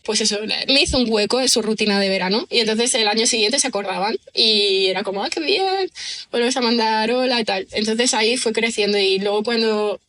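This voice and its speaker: A chatty woman from talks easily as a podcast guest. Her voice sits a touch high and rings bright. She strings thoughts together with fillers and tag questions. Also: brisk. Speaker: guest writer. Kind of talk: relaxed podcast conversation.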